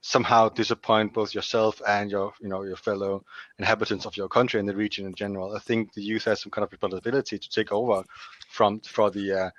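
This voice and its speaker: A man's voice: on the low side, level tone, quick pace, a bit bright, dry recording. A man in his twenties with a Danish accent, speaking frankly on an online panel with many restarts. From Denmark. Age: 20s. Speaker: youth organization chair, panelist. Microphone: video call. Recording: dull dry video call audio.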